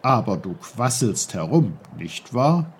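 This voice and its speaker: A man voicing a gruff, grumbling old uncle with a short temper, in a storybook reading. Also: lowish voice, very animated, takes it slowly, a little hiss or hum, pitched below the performer's own voice. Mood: explaining.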